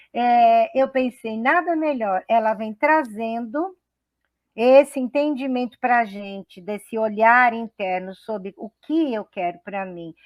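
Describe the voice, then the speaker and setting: A woman's voice: fairly high pitch, dry recording. A middle-aged woman from São Paulo state, hosting a web radio talk show in a chatty, enthusiastic way, often restarting her sentences. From São Paulo state, Brazil. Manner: chatty, enthusiastic, laughs easily, many restarts. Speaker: host.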